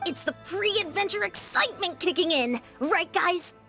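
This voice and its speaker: A woman's voice: very high-pitched, lively intonation, moderate pace. A woman playing a spirited, confident young female warrior and sports coach in a video game, upbeat and self-assured. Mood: excited. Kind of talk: English video game voice acting. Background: music.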